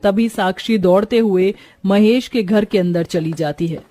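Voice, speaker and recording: A woman's voice: mid-range voice, unhurried, warm tone. A woman narrating a moral story in a scripted storytelling style.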